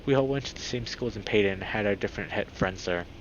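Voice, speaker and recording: A man reading a visual novel aloud as a streamer, with expressive reactions. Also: fairly low pitch, fast talker. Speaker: video game streamer. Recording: dull lo-fi mic with clear background noise.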